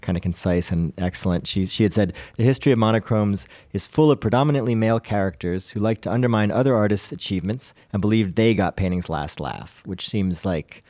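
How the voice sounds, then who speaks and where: A man hosting a casual discussion podcast, speaking in a measured way and often softening his points with qualifiers. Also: fairly low pitch, no room sound, clean studio sound. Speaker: podcast host.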